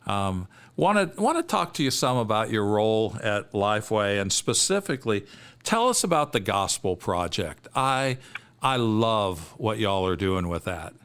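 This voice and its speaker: A man's voice: on the low side, very animated, unhurried, a bit bright, smooth. A man, hosting an interview in an eager, affirming manner. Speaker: podcast host.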